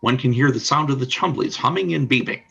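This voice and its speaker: A man's voice: medium pitch, fast, gravelly, pushed and bright, husky, muffled recording. A man reading scripted scene narration for a radio play, describing the action in the present tense.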